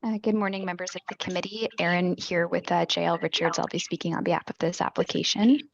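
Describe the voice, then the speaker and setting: Woman planner, gravelly and hoarse from a sore throat, businesslike presentation, dry and dull sound. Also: fairly low pitch, steady tone, fast. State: losing her voice, sore throat. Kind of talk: formal presentation.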